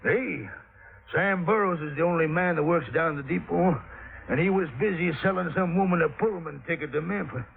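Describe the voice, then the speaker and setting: An old-time radio recording in a narrow, tinny band carries a fat, grouchy Southern businessman. His voice is creaky and rather high, and he blusters and sputters as he bullies everyone around him. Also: faint music behind. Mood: threatening. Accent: American South. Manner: blustering, repeats words, sputtering and halting. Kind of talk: 1950s radio drama acting.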